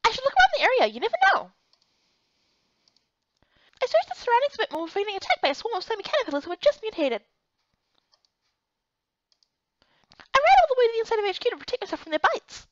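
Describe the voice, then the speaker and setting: A woman voicing an earnest, excitable young heroine, reading a fantasy game story in the first person with expressive, dramatic delivery. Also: very high voice, very fast, no echo, lo-fi sound, pitched above the performer's own voice, heavily acted. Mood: excited.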